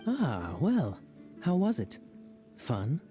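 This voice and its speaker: An actor playing a sly, teasing knight captain in a video game, with a smooth, playful manner. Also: male voice, a little high, huge pitch swings, extremely slow, dark tone, faint music behind, exaggerated voice.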